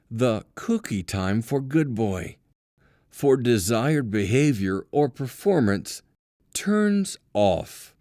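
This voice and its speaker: A man narrating an audiobook from a script, deliberately, with dramatic pauses and emphasis. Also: fairly low pitch, warm tone, no room sound, clean studio sound.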